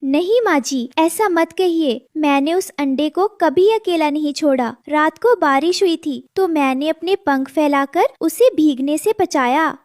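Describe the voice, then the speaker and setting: A woman's voice: sits high, unhurried, hardly any pauses, darkish tone, no room sound, clean studio sound, voice pushed up. A woman voicing a devoted, anxious mother sparrow in a cartoon, earnest, tender and plaintive. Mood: anxious, pleading.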